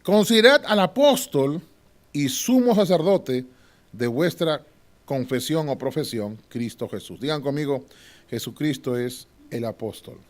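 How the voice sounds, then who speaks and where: Male Bible teacher, medium voice, animated sermon-style lecture. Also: medium pitch. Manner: lively preaching, asks "amén o no?" to the class; swings from loud to hushed, with short laughs.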